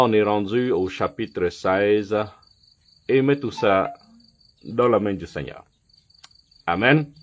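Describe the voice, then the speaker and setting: A man who is not a native French speaker gives a sermon and Bible teaching. He talks deliberately, with frequent pauses and fillers, and often checks in with his listeners by asking "ok?". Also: fairly low pitch. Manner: non-native French with some slips. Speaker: preacher.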